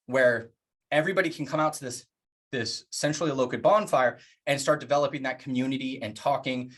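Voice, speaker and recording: A man's voice: mid-range voice, fairly bright tone. Earnest man giving a public address. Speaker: organization leader presenting plans.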